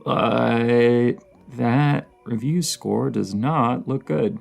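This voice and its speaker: A man playing a dorky, excitable partner who rambles like a horror-movie nerd in a casual, intimate roleplay, stammering and halting with enthusiasm. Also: mid-range voice, gravelly, soft background music, exaggerated voice. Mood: playful, curious.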